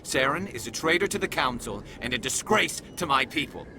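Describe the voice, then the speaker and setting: A man's voice: medium pitch, expressive, a little fast, natural voice. A man voicing a determined, earnest alien police investigator in a video game, speaking intently over ambient noise. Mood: intent, resolute.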